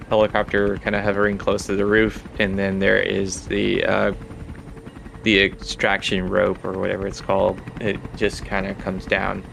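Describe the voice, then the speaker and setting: Male game master, low gravelly voice, casual improvised narration, faint background noise. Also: fairly bright tone.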